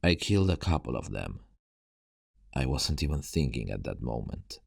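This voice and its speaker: A man playing a weary, haunted survivor of a zombie apocalypse in an ASMR roleplay, telling his story in a hushed, grave, halting way. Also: rumbling low, very animated, creaky, husky, dry recording, clean studio sound, full character voice. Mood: heavy, regretful.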